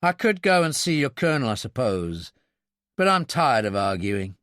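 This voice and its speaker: A man's voice: mid-range voice, sing-song, moderate pace, a bit bright, clean tone, no room sound. A man voicing a weary, steely army captain in an audiobook, with a curt, dry, firm delivery. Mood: cold threat.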